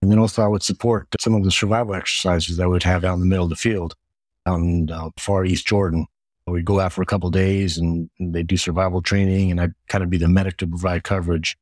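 A man's voice: low-pitched, flat delivery, fast talker, darkish tone, dry recording. A middle-aged man, born in the United States with Hungarian as his first language, answering interview questions steadily and listing things with frequent word repeats. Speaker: guest, retired Air Force medic.

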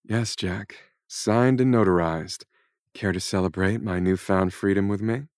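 A man voicing a sassy, deadpan divorced woman in an audiobook romance. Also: low-pitched, measured pace, no room sound, studio quality. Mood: teasing. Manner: deadpan, teasing.

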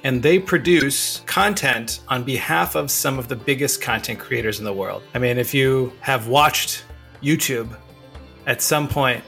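A man's voice: mid-range voice, unhurried, creaky, sharp tone, faint music behind. A man hosting a podcast interview, upbeat and eager, with frequent fillers. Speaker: podcast host. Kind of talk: casual interview chat.

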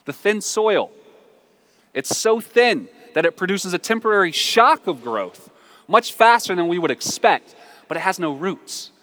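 An American man preaches in a lively, storytelling style, his pitch swinging widely. His voice is bright and forceful, and he repeats phrases for emphasis with conversational humor. It rings with the long reverb of a big hall. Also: a little slow. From southern United States. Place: large reverberant room, live before a congregation.

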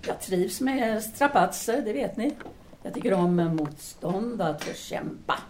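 A woman's voice: medium pitch, expressive, a little mellow, a little hiss or hum, lightly acted. A woman playing a self-assured, restless 1930s Parisian intellectual in an audio drama, speaking formally and decisively. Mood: candid, restless.

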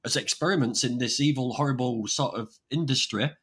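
A man's voice: medium pitch, brisk, fairly bright tone, husky. An older man hosting a casual livestream chat over a video call, rambling with frequent restarts. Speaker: online vegan channel host.

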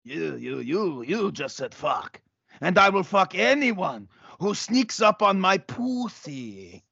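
A man playing a crude, belligerent Russian champion wrestler who speaks halting broken English in a gravelly voice, in a comedic audio play. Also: sits high, sing-song, pushed and bright, no echo, highs cut off, heavily acted. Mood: baffled. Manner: halting, repetitive, profane.

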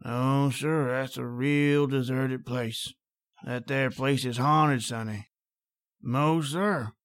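A man's voice: mid-range voice, steady tone, takes it slowly, lightly acted. An actor playing a garrulous, superstitious old country man with a rural American accent, telling local legends in a rambling folksy way in an old-time radio drama. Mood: spooked, folksy. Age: older. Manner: folksy, rambling, emphatic dialect speech.

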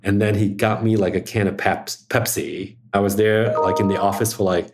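A man's voice: lowish voice, fairly even intonation, moderate pace, over music. Chatty middle-aged man telling a story. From Hong Kong. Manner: chatty, many "like" fillers. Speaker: interview guest, media executive. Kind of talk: casual personal storytelling.

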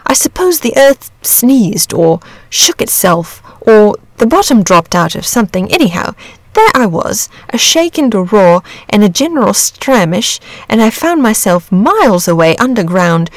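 A woman's voice: medium pitch, creaky, dry recording, full character voice. A woman voicing an amiable, lazy, bashful male dragon from a storybook, chatty, rambling and genteel. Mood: leisurely, self-satisfied. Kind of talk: storybook character reading.

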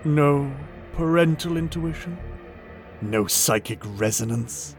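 An actor playing a smug, menacing traitor soldier in an audio drama, condescending and deliberate. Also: male voice, a little high, animated, over music. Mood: smug menace.

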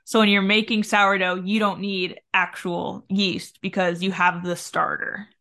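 A woman's voice: medium pitch, level tone, unhurried, a bit bright. A woman hosting a podcast, chatting and telling stories with frequent laughter. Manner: chatty, giggly storyteller. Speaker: podcast host. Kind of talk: casual podcast chat.

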